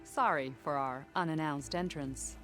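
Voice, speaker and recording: Low-voiced, confident female squad leader. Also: measured pace, a bit bright, faint music behind. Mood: assured. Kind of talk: video game cutscene acting.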